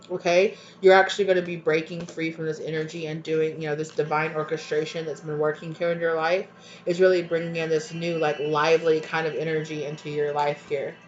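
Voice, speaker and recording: Stuffy-voiced woman giving a tarot reading. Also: sits low. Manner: rambling, keeps checking in with "okay?" and "right?". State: stuffy, congested voice. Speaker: tarot reader. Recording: dull lo-fi home recording, faint noise. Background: dogs barking at times.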